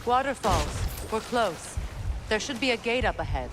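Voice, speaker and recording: An actress playing a proud, battle-hardened goddess in a video game, guarded and blunt with a dry, measured delivery. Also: female voice, on the high side, animated, background noise, natural voice. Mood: purposeful. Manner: dry, curt, measured storytelling. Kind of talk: dramatic video game acting.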